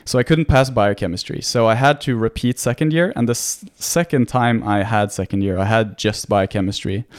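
A man's voice: lowish voice, normal speed, hardly any pauses. A man in his twenties from Norway, a medical student talking as a podcast guest in an easy, chatty way with frequent small restarts and laughs. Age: 20s. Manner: relaxed, laughs often, many filler restarts. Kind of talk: casual conversation.

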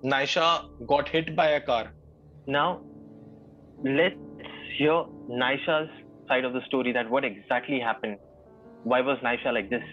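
Indian guy telling a spooky story off the cuff, kinda halting, muffled audio w music behind. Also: medium pitch, fairly even intonation. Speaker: guest storyteller. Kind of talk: informal oral ghost storytelling. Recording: dull lo-fi audio under music. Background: clear background music.

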